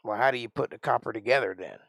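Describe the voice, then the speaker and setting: A man's voice: medium pitch, fast, creaky, a bit bright, no room sound. A man in his forties hosting a live stream, chatting casually in a rambling, folksy way as he thinks aloud and answers his chat. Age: 40s.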